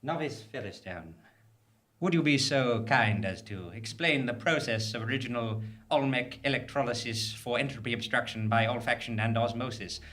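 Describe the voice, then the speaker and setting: A man playing a solemn cult leader and narrator in a live comic horror radio drama, grandiose and measured. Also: mid-range voice, a bit bright, close to an everyday voice. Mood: calm, formal.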